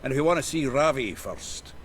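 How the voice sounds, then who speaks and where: An actor playing a gruff, earthy, hot-tempered male rogue in a fantasy video game, gravelly and blunt, full of colourful slang. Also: medium pitch, expressive, a little fast, a little hiss or hum, lightly acted. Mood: blunt, scheming.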